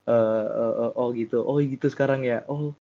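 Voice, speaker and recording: A young man from Sumatra, a student, telling a story in casual chat, animated and hesitant with many repeated words. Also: mid-range voice, moderate pace, vocal fry. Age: young adult. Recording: dull lo-fi sound.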